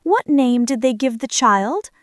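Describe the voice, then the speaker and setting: A woman's voice: high voice, animated, unhurried, dry recording, studio quality. A woman voicing a small, good-natured female mouse in a children's fairy tale, with an earnest, exclamatory manner. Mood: friendly.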